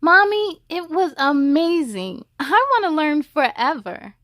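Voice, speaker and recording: A woman voicing a bubbly, confident little schoolgirl from a children's storybook, eager and excited. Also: high-pitched, slow pace, dry recording, studio quality, voice pushed up, heavily acted.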